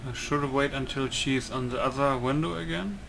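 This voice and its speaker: A man's voice: medium pitch, normal speed, creaky. Hesitant male gamer over noisy game ambience. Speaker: gamer, let's player. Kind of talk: casual gameplay commentary.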